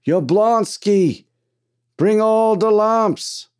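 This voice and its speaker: A man's voice: mid-range voice, normal speed, dark tone, natural voice. A man voicing a blustering, bigoted mine foreman in an audiobook, cursing and exasperated. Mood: furious yelling. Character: gruff, bigoted, hot-tempered mine foreman. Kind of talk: dramatized audiobook character.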